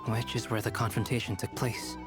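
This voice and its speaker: A man's voice: lowish voice, flat delivery, brisk, lightly acted. A man voicing a composed, analytical strategist in a video game, explaining things methodically. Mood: calm, explanatory. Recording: game audio under clear music.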